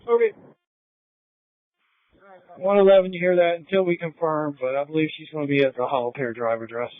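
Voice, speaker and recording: A man's voice: a little high, fairly quick, no echo. Male officer on a tinny radio. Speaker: police officer. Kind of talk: unscripted police radio talk. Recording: tinny narrowband police scanner radio.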